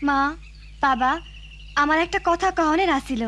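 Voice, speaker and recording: A woman's voice: sits high, unhurried, heavy background noise. A woman playing a studious, hesitant teenage village girl in a cartoon, with a rural Bangladeshi accent. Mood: hesitant, pleading. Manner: hesitant, pleading. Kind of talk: cartoon voice acting.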